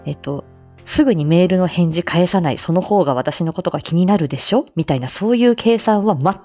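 A woman's voice: fairly low pitch, expressive, brisk, warm tone, faint music behind. A woman hosting a casual solo live stream, talking hesitantly and self-deprecatingly as she gropes for words. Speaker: host of a personal audio live stream. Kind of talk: casual solo chat to listeners.

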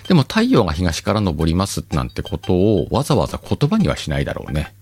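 Deep-voiced man, lively rambling host. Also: breathy edge, soft background music. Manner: rambling, self-mocking, drawn-out pauses. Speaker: podcast host. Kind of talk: casual solo chat.

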